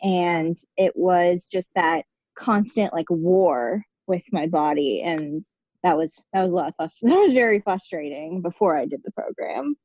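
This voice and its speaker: A woman's voice: on the low side, lively intonation, unhurried, a little mellow, no echo. A woman in her late twenties from the United States, a guest speaking candidly and casually in an interview over a video call. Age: 20s. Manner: candid, conversational with frequent restarts. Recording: dull lo-fi remote call sound.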